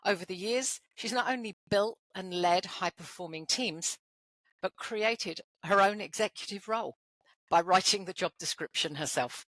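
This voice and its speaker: Brooklyn woman host, chatty and playful, bright voice on a dry close mic. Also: mid-range voice, moderate pace, dry recording, poor audio. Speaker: podcast host. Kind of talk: interview conversation.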